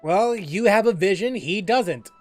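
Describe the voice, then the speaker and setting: High-pitched, animated male game streamer. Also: measured pace. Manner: joking, heckling. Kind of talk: casual live gameplay commentary. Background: game music.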